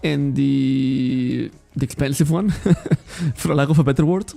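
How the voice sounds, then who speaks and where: A man's voice: medium pitch, fairly even intonation, slow pace, vocal fry, mellow, soft background music. A man from Spain, a native Catalan speaker with a Catalan accent in English, chatting casually on a card shop livestream, rambling, correcting himself and laughing often. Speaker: trading card shop streamer.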